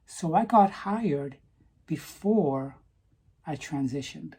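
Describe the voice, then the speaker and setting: A woman talking earnestly to camera in a personal vlog, stopping to ask "okay?" for emphasis, with some halting restarts. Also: low voice, expressive, a little mellow, slightly rough. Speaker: vlogger.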